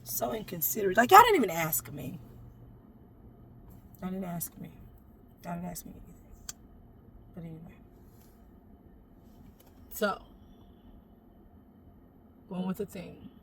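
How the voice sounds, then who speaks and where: A sassy woman with a deep, gravelly voice talks straight to her viewers. She's playful and chatty, tossing in "baby" as she works through her makeup. She's sitting in a parked SUV, with faint noise in the background. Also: measured pace, pauses often. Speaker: makeup vlogger.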